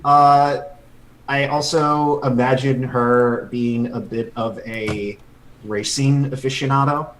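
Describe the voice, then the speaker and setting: A man playing a tabletop role-playing game, describing his character in halting, thinking-aloud speech. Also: medium pitch, steady tone, rough recording. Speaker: player. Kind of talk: casual tabletop role-play chat.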